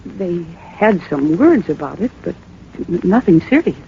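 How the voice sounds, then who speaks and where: A woman's voice: lowish voice, sing-song, unhurried, gravelly, heavily acted. An actress playing an earnest, polite young woman, an owner's daughter, in a 1940s radio drama. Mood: earnest, anxious. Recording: muffled 1940s radio recording, faint noise.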